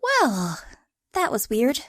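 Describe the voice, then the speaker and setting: A woman's voice: a little high, wildly swooping pitch, takes it slowly, fairly bright tone, dry recording, clean studio sound, exaggerated voice. A woman playing a feisty, loyal young female warrior in an audio drama, brash and blunt. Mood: wry.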